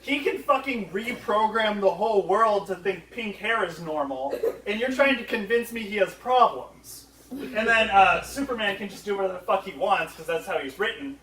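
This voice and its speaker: Male comedic panel host, high voice, sweary mock-ranting, recorded far from the mic. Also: animated, normal speed, fairly bright tone. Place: convention panel room. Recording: echoey room, far from the mic.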